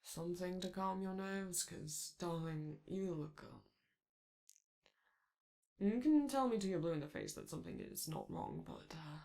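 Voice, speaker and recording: Man performing a flamboyant, doting male robot celebrity for ASMR roleplay, theatrical and coaxing, lively pitch with a gravelly fry. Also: a little slow, exaggerated voice. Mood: coaxing, flirty. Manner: theatrical, coaxing, calls listener darling.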